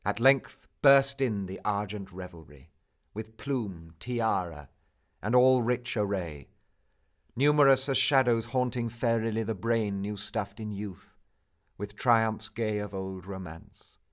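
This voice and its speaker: Male poetry reader, deep register, very unhurried, solemn and theatrical. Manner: solemn, theatrical, measured delivery with drawn-out phrases. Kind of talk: dramatic recitation of a narrative poem.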